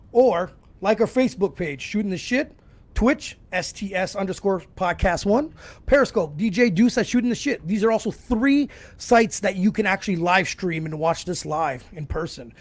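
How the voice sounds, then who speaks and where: A man hosting a podcast in a laid-back, friendly way, full of "you know" and "bro". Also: high voice. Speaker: podcast host. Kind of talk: casual hosting.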